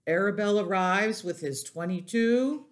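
A woman's voice: mid-range voice, unhurried, close to an everyday voice. A woman voicing a world-weary old ewe in a storybook, blunt and snappish. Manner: matter-of-fact, snappish.

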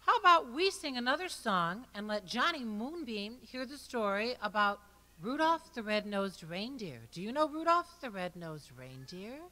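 A woman's voice: on the high side, sing-song, normal speed, pushed and bright, big-room sound, low-quality recording. A woman at a microphone in a church, introducing and leading a Christmas singalong. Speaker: pianist and singalong leader. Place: church sanctuary, live before audience. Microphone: microphone on stand at piano. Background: piano music.

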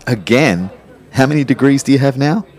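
A man's voice: medium pitch, expressive, normal speed, darkish tone, lightly acted. A man playing a wry young personal trainer who narrates his own life in an audio drama. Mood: chatty.

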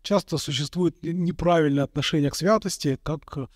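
A man in his thirties, an Orthodox monk from Kazakhstan, answering interview questions slowly and thoughtfully, with many pauses, into a studio mic. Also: a little high, a little mellow, no echo. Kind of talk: calm reflective interview answers. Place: bright indoor room, interview set. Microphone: studio mic on a boom in front of him.

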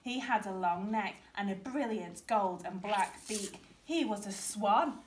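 A woman's voice: mid-range voice, unhurried. A woman teacher reads a children's story aloud in a classroom. She tells it expressively, picked up by a camera a short distance away with faint background noise. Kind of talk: expressive picture-book storytelling. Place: primary school classroom, indoors.

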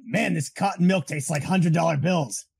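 Gravelly, husky old cartoon great-uncle. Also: female voice, lowish voice, normal speed, a little mellow, dry recording, full character voice. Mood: jovial, blustering. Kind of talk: improvised comedy fandub.

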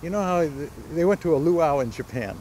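A man's voice: fairly high pitch, brisk, slight background noise. A man in his sixties from Hawaii, telling family stories as an interview guest outdoors, gesturing as he talks. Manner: animated storyteller, gestures while talking. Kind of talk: storytelling in a conversational interview. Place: outdoors on palm-lined grounds by a church.

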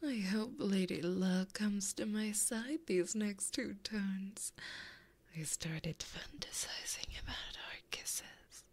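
A seductive demon woman played by a woman whispers in an ASMR roleplay, right up at a binaural microphone. Her voice is soft and full of breath, and she draws her words out extremely slowly. She is flirty and possessive, taunting the listener with intimate teasing. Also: medium pitch. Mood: teasing, playful. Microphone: close binaural microphone.

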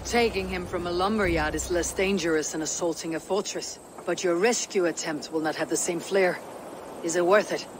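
An actress playing a steely, calculating Viking warrior woman in a video game, speaking in a terse, commanding way with a husky low voice. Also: moderate pace, noisy background, lightly acted. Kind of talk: video game cutscene acting.

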